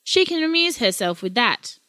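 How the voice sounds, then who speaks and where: A woman voicing a lazy, grumpy old grandfather, gruff and dismissive. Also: on the high side, big pitch swings, normal speed, pushed and bright, no echo, studio quality, voice pushed up. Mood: smug.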